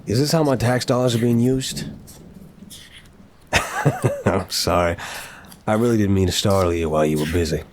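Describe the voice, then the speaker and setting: A man's voice: lowish voice, wildly swooping pitch, normal speed, pauses often, background noise. A man acting as an apologetic, charming mafia boss in a scripted audio roleplay, talking in wry, conversational gangster slang. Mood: teasing.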